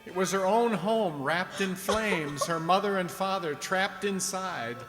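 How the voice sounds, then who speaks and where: A man playing a kindly, earnest doctor in a radio drama, speaking in a halting, pleading manner. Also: high-pitched, over loud music. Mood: urgent, commanding. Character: earnest, kindly, urgent male family doctor. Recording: reverberant hall.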